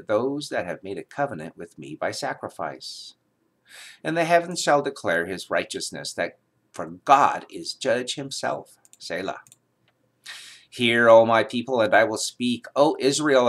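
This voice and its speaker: A man reading the Bible aloud in a solemn, dramatic style, with emphatic, declamatory delivery and measured phrasing. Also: on the low side, a bit bright. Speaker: scripture reader.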